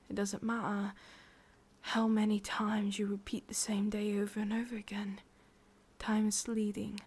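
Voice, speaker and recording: A woman with an English accent voicing an ancient, possessive, wistful witch in a slow, intimate, breathy ASMR whisper. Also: medium pitch, darkish tone. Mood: melancholy, musing. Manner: slow, intimate, musing, with lingering pauses. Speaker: ASMR roleplay performer. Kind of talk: whispered ASMR roleplay monologue.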